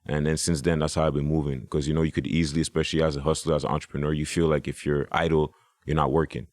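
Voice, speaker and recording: A man's voice: a bass voice, level tone, fast talker, a little mellow, no room sound. A man, a hip hop artist, talking casually as a podcast guest, rambling with restarts and "you know".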